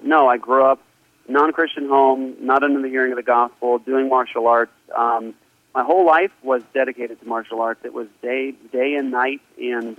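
A middle-aged man speaks earnestly as an interview guest, rambling a little and filling pauses. His voice comes through a tinny, dry telephone line with no room sound. His pitch sits in the middle for a man. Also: no echo. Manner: earnest, rambling with many fillers. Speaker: pastor, guest. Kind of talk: casual phone interview. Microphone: phone.

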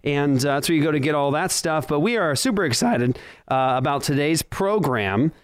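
A man's voice: fairly high pitch, expressive, moderate pace, dry recording. Brief: male talk-show host, casual and filler-heavy, clean close sound. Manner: rambling, filler-heavy, jokey. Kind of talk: casual chat.

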